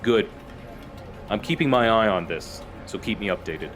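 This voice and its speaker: An actor playing a stern police chief in an audio drama. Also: male voice, lowish voice, expressive, normal speed, over music, close to an everyday voice.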